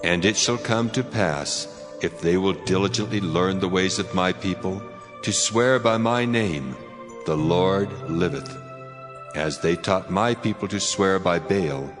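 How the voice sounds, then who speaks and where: A deep, echoing, stern God. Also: male voice, lively intonation. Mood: solemn warning. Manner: solemn, commanding, deliberate archaic delivery. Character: God, stern, majestic, wrathful male deity. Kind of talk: dramatized scripture reading. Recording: long cavernous reverb with music behind. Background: music.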